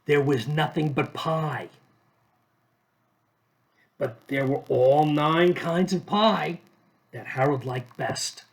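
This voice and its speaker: A man reading a children's story aloud expressively, pausing between short phrases. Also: on the high side. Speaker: storybook reader.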